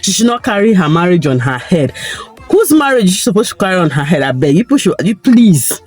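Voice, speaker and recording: Croaky-voiced Nigerian woman vlogging. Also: on the low side, sing-song, brisk, a little mellow, faint music behind. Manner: chatty and opinionated, rising to emphatic, rhetorical questions. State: croaky, tired voice. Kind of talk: casual opinion vlog.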